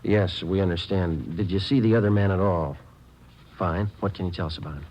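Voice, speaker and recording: Actor as fast-talking, low-voiced police sergeant. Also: male voice, a little hiss or hum, close to an everyday voice. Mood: businesslike. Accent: American. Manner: clipped, flat, matter-of-fact. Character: terse, businesslike police detective sergeant, narrating his cases. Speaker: police detective and narrator. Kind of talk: 1940s-50s radio drama acting and case narration. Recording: dull old radio recording, music behind.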